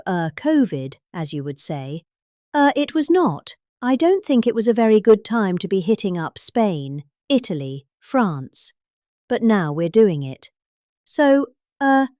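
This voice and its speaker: British female, middle-aged, theatrical comic speech reader with highly varied intonation, heavy emphasis and dramatic pauses, very dry recording. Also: darkish tone, no echo. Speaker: performer reading a political speech. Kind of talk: dramatic comedic reading of a speech.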